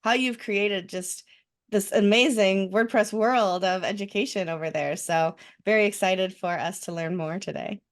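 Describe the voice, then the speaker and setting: A woman's voice: mid-range voice, normal speed. A woman hosting a podcast, introducing a guest in a bubbly, effusive way. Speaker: podcast host. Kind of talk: podcast interview.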